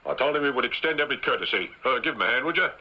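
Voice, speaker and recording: An actor playing a brisk, officious Victorian postmaster in an old-time radio drama. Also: male voice, mid-range voice, animated, bright, forceful tone, rough recording. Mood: brisk, official.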